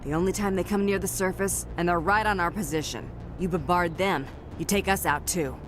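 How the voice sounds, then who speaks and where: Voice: medium pitch, a little fast, music in the background, natural voice. An actor voicing a battle-weary, gritty soldier lieutenant in a video game, with blunt, clipped military talk. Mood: grim, matter-of-fact.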